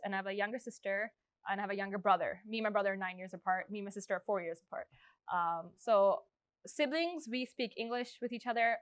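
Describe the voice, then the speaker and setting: Chatty young woman, crisp dry voice. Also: mid-range voice, a bit bright, no echo. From Canada. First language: Punjabi. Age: 20s. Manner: chatty, upbeat, lots of fillers and restarts. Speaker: interviewee, schoolteacher. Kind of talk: oral history interview.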